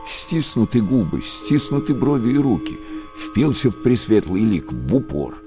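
An actor narrating a monastery tale in a radio play, lyrical and measured, with dramatic pauses. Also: male voice, mid-range voice, sing-song, music in the background. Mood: hushed, storytelling.

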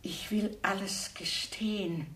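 An actress in a German radio play playing a shrewd, polite elderly spinster who investigates crime, courteous but firm. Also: female voice, mid-range voice, steady tone, takes it slowly, natural voice. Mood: alarmed. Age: older. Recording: roomy, distant studio sound, faint noise.

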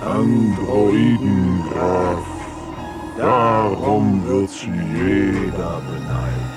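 A man playing a fawning, flattering henchman with a drawn-out manner in a German radio play. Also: low-pitched, loud music behind the voice. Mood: admiring, callous.

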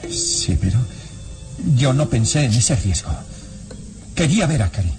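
An actor in a radio drama playing a nervous, evasive gentleman suspect, stammering theatrically and defending himself. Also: medium pitch, big pitch swings, unhurried, music in the background. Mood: flustered. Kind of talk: classic Latin American radio drama acting.

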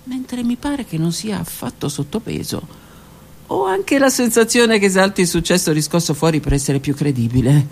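A woman reading her own literary text aloud on the radio, in a slow, intimate voice that often drops to a whisper. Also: on the low side, very animated, gravelly. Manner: slow, intimate, hushed delivery with whispered words. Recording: radio broadcast with faint music.

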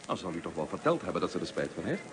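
An actor playing a kindly, jovial, gently teasing middle-aged husband in a radio drama. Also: male voice, low voice, sing-song, very fast, crowd noise. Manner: jovial, sociable. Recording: noisy old radio play recording.

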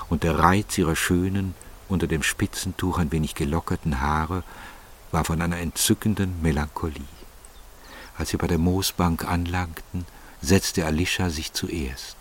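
A man's voice: low-pitched, slight background noise. A man reading scripted literary narration in German as the narrator of a story.

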